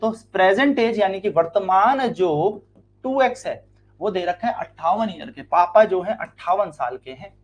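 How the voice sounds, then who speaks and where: A man's voice: sits high, animated, normal speed, slight background noise. A man with an Indian accent teaching an exam-prep maths lesson, explaining step by step and asking his students questions he then answers himself. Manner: repeats key lines and stretches words out to stress them.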